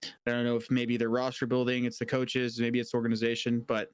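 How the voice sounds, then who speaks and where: A young man gives blunt, casual opinions on an esports panel and swears without thinking about it. His voice is mid-pitched and recorded dry, close to the mic. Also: monotone, brisk, clear, resonant tone, dry recording.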